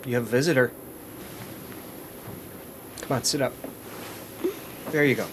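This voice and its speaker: A man's voice: mid-range voice, a little slow, background noise, close to an everyday voice. An actor playing an insistent, coaxing man who follows and handles someone, in a naturalistic audio drama. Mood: gentle, apologetic. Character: pushy, coaxing male pursuer who turns apologetic caretaker. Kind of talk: naturalistic audio fiction acting.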